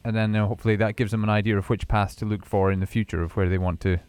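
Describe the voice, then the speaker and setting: A man's voice: lowish voice, steady tone, rapid-fire, darkish tone, smooth, no room sound. A man hosting a casual radio interview, rambling and restarting his questions as he talks. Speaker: radio host.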